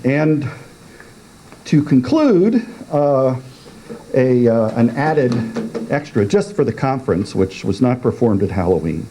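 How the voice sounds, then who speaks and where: A man giving a dramatic recitation of comic verse in a theatrical, deliberate manner. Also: medium pitch, very animated, mellow, slight background noise, poor audio. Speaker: poetry reader.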